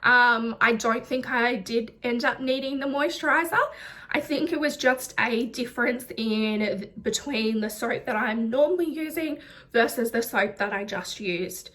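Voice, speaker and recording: A woman's voice: a little high, fairly bright tone. A woman reviewing a product in a casual vlog, talking in a chatty way with many ums and likes, sounding slightly nasal from a recent chest infection. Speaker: beauty vlogger.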